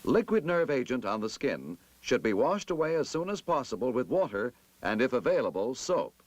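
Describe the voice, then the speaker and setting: A man narrating a scripted 1960s military instructional film in a formal, emphatic, measured manner. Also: medium pitch, big pitch swings, dry recording.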